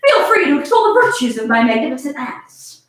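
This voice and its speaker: A woman's voice: sits high, big pitch swings, brisk, fairly bright tone. A woman voicing a brash, playful, scatterbrained young woman in a theatrical, flippant way for a fan fiction reading. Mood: flippant, bragging. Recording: very echoey room, distant from mic.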